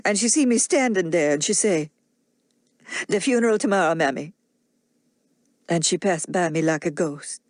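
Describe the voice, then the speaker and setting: A woman's voice: lowish voice, moderate pace, long pauses, no room sound, studio quality, lightly acted. A woman voicing a devoted, frightened old nanny with a heavy Southern US accent and plainspoken dialect. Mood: troubled.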